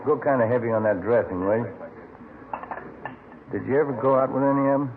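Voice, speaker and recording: A man's voice: mid-range voice, fairly quick, lightly acted. A man playing a plainspoken, insistent police detective partner in a 1950s radio drama, folksy but firm. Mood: easygoing. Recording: noisy, narrow old radio recording.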